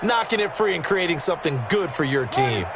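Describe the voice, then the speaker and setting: A man's voice: a little high, lively intonation, fairly quick, a loud crowd, very noisy. A man playing a folksy, opinionated ex-player giving American football analysis in a video game, speaking emphatically. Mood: emphatic, upbeat. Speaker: colour commentator, former player. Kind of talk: sports commentary. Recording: game audio under loud stadium ambience, thuds.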